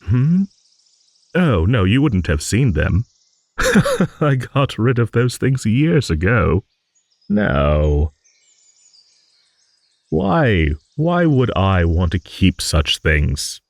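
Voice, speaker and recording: A man playing a gentle, courteous old dragon who rambles hesitantly in an improvised fantasy audio roleplay. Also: fairly low pitch, sing-song, vocal fry, no room sound, heavily acted. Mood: bemused, modest. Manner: rambling, hesitant, genteel, with musing hums and pauses.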